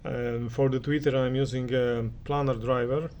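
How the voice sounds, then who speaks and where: A man's voice: mid-range voice, steady tone, normal speed, darkish tone, a little hiss or hum. A man gives an unscripted spoken review of audio equipment, speaking hesitantly and often searching for his words. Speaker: audio reviewer giving listening impressions.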